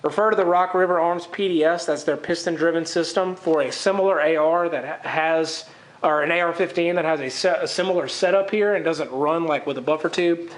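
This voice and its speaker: A man's voice: fairly high pitch, a little fast. A man with a head cold giving an informal, technically detailed product review to camera. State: congested with a cold. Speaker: gun reviewer. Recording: echoey, roomy sound.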